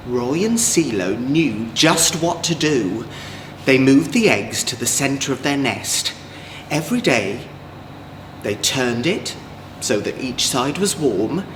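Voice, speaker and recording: A man with an English accent, a drag queen storyteller, reading a children's story in an expressive, gentle way. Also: mid-range voice. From Manchester, England. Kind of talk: children's storybook read-aloud. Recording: echoey room, clear background noise.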